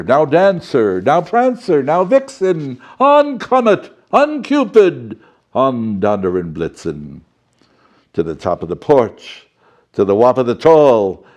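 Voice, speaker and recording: Man playing a slow, theatrical old-school professor storyteller. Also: mid-range voice, a little mellow. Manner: theatrical, fussy, chatty, tag questions, jumbled words. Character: doddering, jolly, theatrical male professor storyteller reading to children. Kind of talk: children's storybook reading with playful asides.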